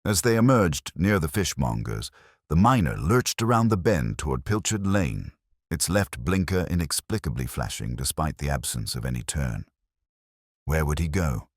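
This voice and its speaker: Male narrator for a mystery story: very deep, lively pitch, wry and measured, dry close mic. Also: dry recording.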